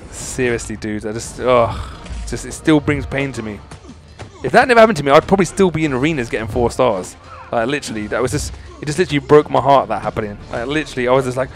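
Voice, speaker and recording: A young man giving excited, rambling commentary over a mobile fighting game as the channel host. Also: mid-range voice. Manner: excitable, rambling, loud exclamations.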